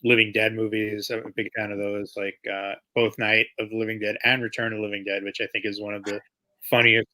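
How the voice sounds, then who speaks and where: A man in casual conversation as an interviewed writer, thinking aloud haltingly and circling back over his phrases. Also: fairly low pitch, flat delivery, sharp tone, rough recording. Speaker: comic book writer being interviewed. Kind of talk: casual chat.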